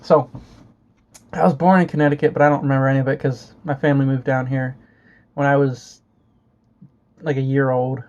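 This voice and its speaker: A man's voice: mid-range voice, little pitch movement, fairly quick, long pauses, a little mellow. A young man from Florida chatting casually as a vlogger, rambling and swearing with lots of fillers and restarts. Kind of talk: casual chat. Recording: dull lo-fi home recording.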